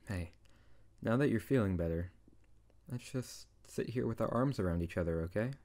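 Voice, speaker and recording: Deep-voiced, tender, teasing young-lover wizard acted by a man. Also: moderate pace, dark tone, close to an everyday voice. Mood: tender, reassuring. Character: tsundere male wizard, teasing, tender best friend turned lover. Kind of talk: intimate ASMR roleplay audio drama.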